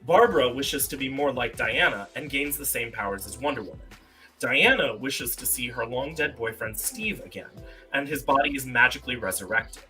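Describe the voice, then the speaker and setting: A man's voice: medium pitch, normal speed, rough recording. A man hosting a casual live-stream quiz game, reading out questions in a playfully mock-pompous way. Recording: music behind the voice. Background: music.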